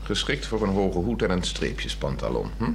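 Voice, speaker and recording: A man with a Netherlands Dutch accent acting a polite, reserved husband with dry wit in a 1960s radio drama, measured and formal. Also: low-pitched, big pitch swings, creaky, soft background music, exaggerated voice.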